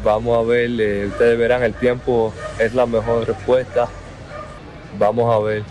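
A young man in his twenties with a Dominican accent, speaking in an interview in a slow, halting way that repeats words. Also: medium pitch, flat delivery, a little mellow, slight background noise. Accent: Dominican Spanish. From Dominican Republic. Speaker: basketball player interviewed. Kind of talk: outdoor interview.

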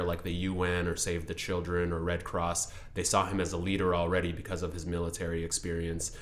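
A young man with a deep voice and very little rise and fall in his speech. He answers interview questions thoughtfully, explaining ideas step by step and often circling back to restart a phrase. He grew up in Seattle in an Ethiopian family. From Ethiopian family, raised in Seattle. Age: young adult. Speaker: interview guest, attorney.

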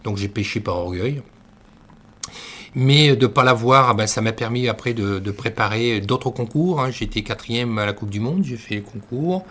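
A middle-aged man from northern France, a master baker, telling his career story in an interview with many pauses and restarts. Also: medium pitch, a bit bright. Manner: hesitant, many fillers and restarts. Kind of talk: conversational interview.

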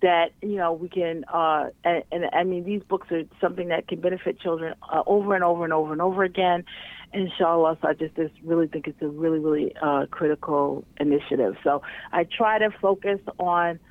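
A woman's voice: on the low side, fairly even intonation, fairly quick, dry recording. A woman in casual conversation as a guest, chatty and full of fillers and restarts. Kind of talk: casual chat. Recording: tinny phone line.

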